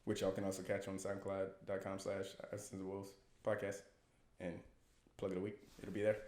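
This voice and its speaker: A man's voice: low-pitched, completely flat delivery, brisk, darkish tone. A young man hosting a podcast in casual chat, teasing his guest, swearing freely and laughing often. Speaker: podcast host. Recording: echoey room.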